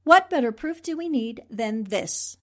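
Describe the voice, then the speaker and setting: A self-assured newspaperwoman from an old radio mystery, acted by a woman. The voice is on the high side, crisp and confrontational. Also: expressive, a little slow, fairly bright tone. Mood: confident, pointed. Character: sharp, confident newspaperwoman cornering a suspect. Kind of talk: 1940s radio drama acting.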